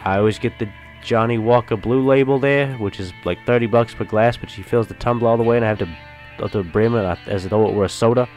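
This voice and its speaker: A man voicing a boastful young male student who shows off his money, his own low voice pushed higher and louder. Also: fast, faint music behind, natural voice. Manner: bragging, animated, rising into high insistence.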